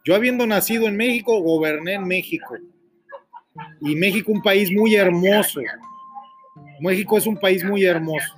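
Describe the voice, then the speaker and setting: Un hombre de mediana edad con acento mexicano y voz bastante aguda conduce un programa de radio en vivo. Habla con seriedad, da vueltas y repite sus frases. Se oye una música suave de fondo. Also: male voice, high voice, normal speed, long pauses, faint music behind. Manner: earnest, rambling and repetitive. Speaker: radio host. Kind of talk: live radio call-in talk.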